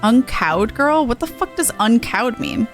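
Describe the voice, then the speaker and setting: A woman livestreaming a game, giving casual, playful and expressive commentary with some swearing. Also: fairly high pitch, a little slow, over music. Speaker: game streamer.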